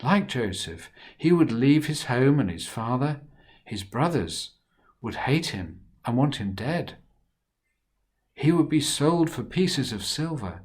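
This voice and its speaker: A man tells a children's Bible story in a husky voice. He takes his time over it and reads with dramatic expression, his pitch rising and falling widely as he shifts between hushed and emphatic delivery. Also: big pitch swings, takes it slowly.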